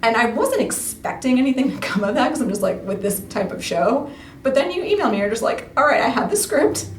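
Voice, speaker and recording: A chatty, giggly woman talking casually as a podcast interview guest, retelling things in an animated way. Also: a little high, a little fast, far from the mic. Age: adult, parent of a nine-year-old. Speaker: podcast guest, radio play cast member. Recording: echoey room, off mic, faint noise.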